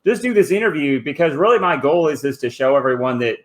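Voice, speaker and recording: A man's voice: on the high side, fast. A man hosting a casual interview, friendly and affirming, with fillers like "kinda" and "uh". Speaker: host.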